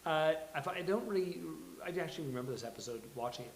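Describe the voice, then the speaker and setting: A man's voice: a little high, expressive, quick pace, slight background noise. Man hosting in an echoey room. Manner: conversational, thinking aloud. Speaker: host of a live table read discussion. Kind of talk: casual panel discussion. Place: live venue with a small studio audience.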